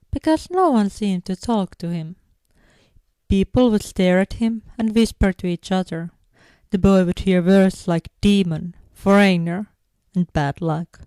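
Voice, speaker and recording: Mellow woman narrating a story, dry sound. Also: medium pitch, no room sound. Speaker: fan fiction audiobook narrator.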